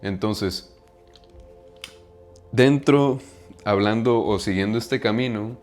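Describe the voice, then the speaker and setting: Give me a Mexican-accented man with a fairly low voice giving a relaxed, conversational self-help talk. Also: takes it slowly, faint music behind. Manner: conversational, often tags sentences with a questioning "right?", uses slang and swears. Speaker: self-improvement vlogger. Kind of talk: casual monologue to camera.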